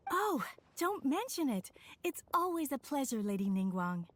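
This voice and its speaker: Courteous, higher-voiced craftswoman. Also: very animated, moderate pace, a little hiss or hum. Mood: polite, deferential. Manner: courteous, earnest. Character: polite, deferential craftswoman serving a noble client. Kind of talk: video game voice acting.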